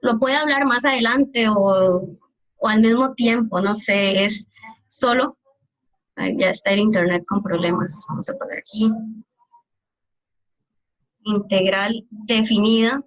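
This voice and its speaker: A woman's voice: medium pitch, unhurried, long pauses, dull audio. A woman math teacher giving an online calculus class, explaining step by step and often checking that her students follow.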